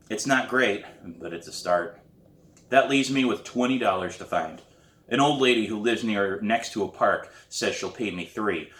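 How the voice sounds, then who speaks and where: A man, an author, reading his novel aloud in expressive narration. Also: lowish voice, echoey room. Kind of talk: expressive audiobook-style narration.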